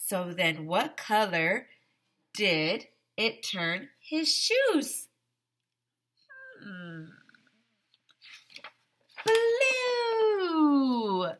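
A woman in her thirties, a teacher, reading a picture book aloud to young children in a theatrical, exaggerated, sing-song manner with drawn-out words. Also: on the high side, pushed and bright. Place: small decorated room, indoors. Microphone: headset.

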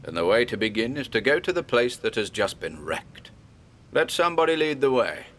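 A man's voice: mid-range voice, big pitch swings, normal speed, fairly bright tone, pitched above the performer's own voice. A man voicing an old scholar who urges a search party on with an urgent, commanding manner.

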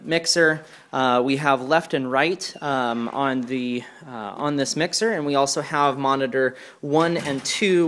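A man presenting equipment in an informal hands-on walkthrough, speaking hesitantly and pausing mid-sentence. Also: a little high, a bit bright. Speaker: presenter demonstrating church sound equipment. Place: carpeted indoor room. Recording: clear background noise, handheld camera mic.

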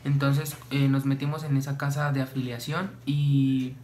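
A young man, calmly explaining a story to camera in a small home room, with many fillers. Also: medium pitch, normal speed, a little hiss or hum. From Colombia. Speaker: vlogger.

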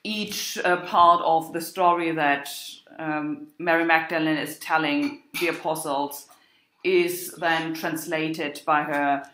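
A woman giving an academic lecture as a university lecturer, with a halting, hesitant delivery. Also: low voice, fairly even intonation, a bit bright. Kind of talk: academic lecture with examples chanted and recited. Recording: roomy, echoing sound.

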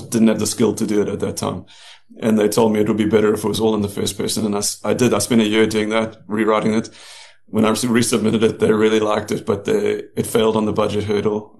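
Gravelly low-voiced man. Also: a little mellow. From New Zealand and South Africa. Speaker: guest, novelist. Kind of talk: casual interview storytelling.

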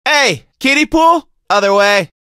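A man's voice: extremely high pitch, expressive, unhurried, bright, forceful tone, clean tone, dry recording, studio quality, heavily acted. A man voicing a goofy, laid-back surfer-dude lifeguard mascot in a video game, with a slangy drawl. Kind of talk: cartoony video game voice lines.

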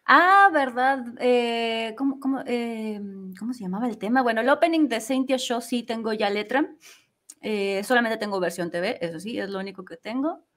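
A woman's voice: on the high side, moderate pace, fairly bright tone. A woman, chatting casually and a bit ramblingly as an interviewed fandub singer. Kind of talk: casual live interview chat.

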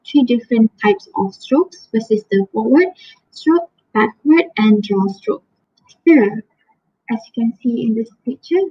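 A young woman student explains her slides in a slightly high, husky voice. Her delivery is careful and halting, and the laptop webcam sound is dull and lo-fi. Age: young adult. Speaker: student presenter. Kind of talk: class presentation over slides.

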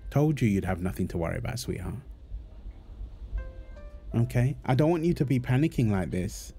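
Man acting as lively, soothing young dad. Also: mid-range voice, moderate pace, faint music behind. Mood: reassuring, tender. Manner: soothing, affectionate, coaxing. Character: gentle, reassuring young father and husband. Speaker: voice actor in audio roleplay. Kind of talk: intimate comfort roleplay, casual one-sided dialogue.

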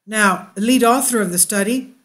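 A woman's voice: medium pitch, moderate pace, a bit bright. A woman hosting a science news channel, reading an article aloud and breaking off into asides with fillers and self-corrections. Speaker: YouTube news host.

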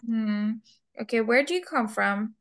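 A woman's voice: fairly high pitch, a little slow. A young woman teaching English, who runs a mock speaking test and patiently repeats her questions and key words. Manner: patient, coaxing, repeats words many times. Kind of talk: slow, repetitive test questioning.

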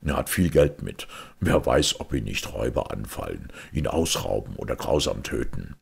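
Man acting a very deep, scheming king. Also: big pitch swings, moderate pace, gravelly, husky, heavily acted. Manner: low, commanding. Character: scheming covetous king, cold and imperious.

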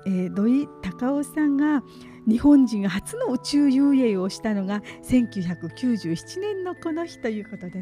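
A middle-aged woman hosting live community radio, announcing and interviewing in polite, conversational phrasing. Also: on the high side. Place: small community radio studio. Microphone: studio boom microphone with headset headphones. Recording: soft background music behind studio voice. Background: faint music.

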